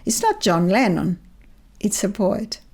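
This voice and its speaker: A woman's voice: medium pitch, wildly swooping pitch, darkish tone. Older Chilean woman, reflective storyteller. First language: Spanish. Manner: thoughtful, measured storytelling with repeated restarts. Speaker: interview guest, author. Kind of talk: reflective conversational interview. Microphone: remote video call.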